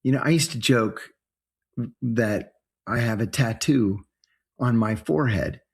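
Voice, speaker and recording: Husky middle-aged man, thoughtful interviewer. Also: mid-range voice, a little slow.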